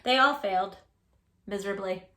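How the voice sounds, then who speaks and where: A woman hosting a children's read-aloud, speaking in an animated, emphatic way straight to small children. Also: mid-range voice, normal speed. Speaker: children's storytime host and tutor. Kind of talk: children's read-aloud and chat to young viewers.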